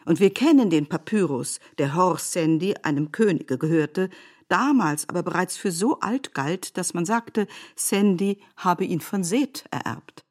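A woman giving a measured, scripted literary narration in an essayistic manner for a radio production. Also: lowish voice, expressive, a little mellow.

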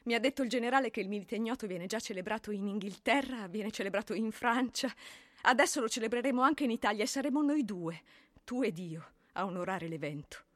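An actress as a bereaved working-class mother in a stage-style radio play. Her voice sits a little high and is recorded dry and close. She speaks plainly but solemnly, weighing each phrase. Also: a little fast, dry recording, close to an everyday voice. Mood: sorrowful, proud. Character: grieving, plain-spoken working-class Italian mother of fallen soldier. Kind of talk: theatrical radio drama monologue.

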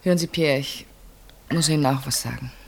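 A woman's voice: extremely low pitch, fairly quick, gravelly, slight background noise. An actress playing a dutiful, self-sacrificing unmarried woman in her thirties in a German radio play, speaking politely and with restraint. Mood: worried, hesitant. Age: 30s. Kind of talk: German radio play acting, intimate naturalistic dialogue.

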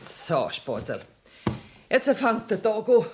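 A woman's voice: mid-range voice, very animated, slow pace, noisy background. An actress playing a brash, scheming woman in a radio play, speaking brusquely in Bavarian dialect. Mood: bossy, scornful. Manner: brusque, bossy. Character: brash, scheming, cash-strapped woman, bossy and defiant.

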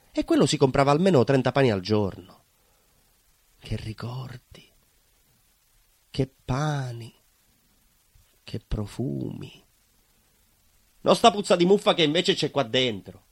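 Animated southern Italian baker, swinging pitch. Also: male voice, no room sound. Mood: fond nostalgia, then disgusted. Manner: colloquial, rambling, emphatic, repeats himself. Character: nostalgic, naive, proud southern Italian neighbourhood baker. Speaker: stage actor. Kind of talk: theatrical monologue, colloquial storytelling.

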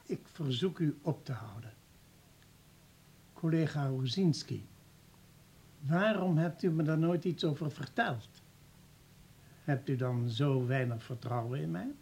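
An older professor in a radio drama, principled and indignant. His voice is husky and fairly high for a man, swinging widely as he presses his questions. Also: animated, unhurried, pauses often, darkish tone, heavily acted. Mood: hurt. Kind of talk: radio play acting.

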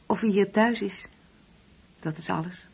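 An actress playing a wistful, uneasy older mother in a Dutch radio play, speaking hesitantly and brooding, at times hushed. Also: mid-range voice, measured pace, gravelly, breathy edge, heavily acted. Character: wistful, uneasy ageing mother grieving an absent son.

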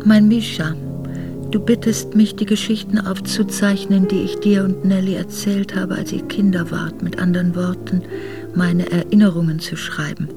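An actress playing an aristocratic middle-aged Russian woman quietly recounting her memoirs to her son in a radio drama. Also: mid-range voice, level tone, normal speed, over music, close to an everyday voice. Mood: intimate, reflective. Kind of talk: intimate radio drama narration.

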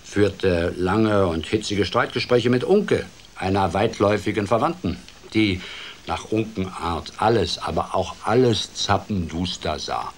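An actor playing a playful storyteller who tells a children's fairy tale in an expressive, theatrical way for a radio drama. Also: male voice, low-pitched, a little slow, a little hiss or hum. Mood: playful, musing.

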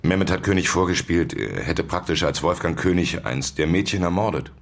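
An actor playing a blunt, world-weary journalist in a radio drama, speaking in a direct, dry and pressing way. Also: male voice, low voice, expressive, a little fast, vocal fry. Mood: probing, dry. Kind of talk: German radio drama acting.

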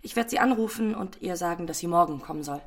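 A woman's voice: on the low side, lively intonation, fast, background noise. A proud, formal young lady. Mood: flustered, composed. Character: proud, loyal young Parisian lady, lover of a gentleman thief. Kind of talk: radio drama acting.

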